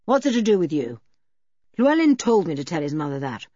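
Casting: gruff, blustering rustic man for a storybook, played by a woman; husky, swooping delivery, defensive temper. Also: on the low side, very animated, brisk, mellow, no room sound, muffled recording. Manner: rural turns of phrase, repeats tags for emphasis. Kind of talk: audiobook character voice.

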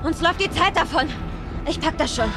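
A woman's voice: very high-pitched, animated, a little fast. A woman playing a tough, combative young action heroine in an audio drama, gritty and defiant. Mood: urgent. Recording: loud music over the voice. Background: loud dramatic music.

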